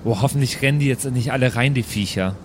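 A man's voice: medium pitch, fast, background noise. A man playing a tabletop role-playing game, talking casually as he improvises his character's moves.

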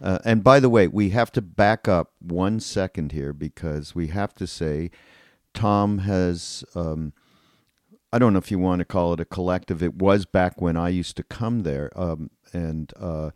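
Older man, podcast host, deep voice, casual reminiscing, rambling delivery, dry recording. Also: measured pace, dry recording. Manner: rambling, informal.

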